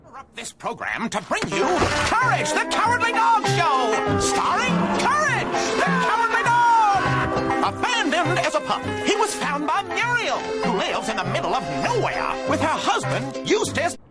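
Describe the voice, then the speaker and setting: A man's voice: very high-pitched, big pitch swings, a little slow, heavily acted. A man voicing a dramatic cartoon show announcer, introducing the show over music. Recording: clip played over loud music.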